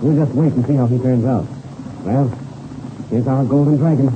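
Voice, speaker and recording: An actor playing a confident, friendly senior pilot in a 1930s radio adventure serial. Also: male voice, mid-range voice, fairly even intonation, moderate pace, noisy background, close to an everyday voice. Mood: easy, assured. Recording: tinny, band-limited old radio recording.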